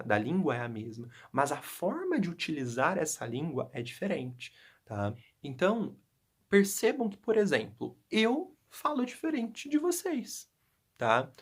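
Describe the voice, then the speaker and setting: Young man from Brazil, teacher giving a class explanation, mid-pitched, patient, keeps checking in with the class. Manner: explanatory, frequent tag questions. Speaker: Portuguese teacher. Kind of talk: recorded class lesson.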